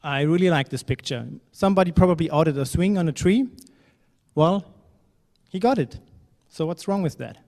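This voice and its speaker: A man's voice: on the high side, expressive, moderate pace, a little mellow. A man from Germany giving a conference talk in a hall, speaking conversationally with fillers and now and then a phrase that sounds non-native. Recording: reverberant hall.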